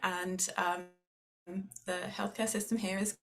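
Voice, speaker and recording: A woman's voice: lowish voice, flat delivery, slow, fairly bright tone, rough recording. A woman writer, talking casually and reflectively about her own work. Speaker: short story writer. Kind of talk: casual talk about own writing process.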